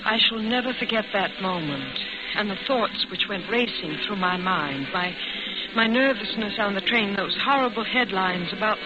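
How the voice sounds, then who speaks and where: An actress playing a nervous, troubled young woman passenger in 1940s radio suspense style, with halting, dramatic delivery. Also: mid-range voice, heavy background noise, close to an everyday voice. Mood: haunted, dramatic. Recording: muffled old radio broadcast, loud music behind.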